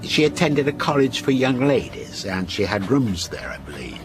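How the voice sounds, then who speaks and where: An actor playing a genial, faintly unsettling man who teases as he tells a ghost story in a radio drama, in a measured, coy way. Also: on the low side, big pitch swings, over music. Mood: storytelling.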